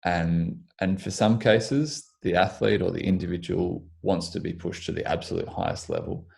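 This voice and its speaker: A young Australian man, a physiotherapist, answering interview questions in a measured way, slightly croaky in the morning, restarting his sentences often. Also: low-pitched, sing-song, darkish tone. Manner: measured, with frequent restarts and ums. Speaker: physiotherapist guest.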